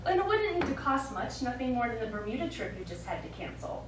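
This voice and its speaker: Woman playing a high-voiced sardonic wife, echoey stage. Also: big pitch swings, fast talker, far from the mic. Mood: playfully scheming. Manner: arch, sarcastic. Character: sardonic, playful, scheming wealthy wife. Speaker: stage actor. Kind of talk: live stage play acting. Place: small theatre studio, recorded from the audience. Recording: echoey stage recording from afar, background noise.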